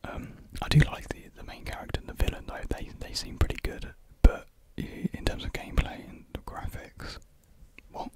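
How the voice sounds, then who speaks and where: A young man speaks in a breathy, hushed whisper, chatting casually and informally as an ASMR host close to a desktop microphone. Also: normal speed. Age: young adult. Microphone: desktop USB microphone up close.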